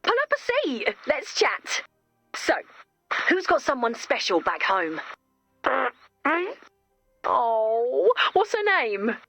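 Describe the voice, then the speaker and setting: A chipper video game camp counselor. Her voice is fairly high and lively, rising and falling theatrically like a campfire storyteller, recorded close and dry. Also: takes it slowly, long pauses, no echo, soft background music, exaggerated voice. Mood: friendly. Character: chipper, theatrical female camp counselor telling spooky stories. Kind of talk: video game character acting.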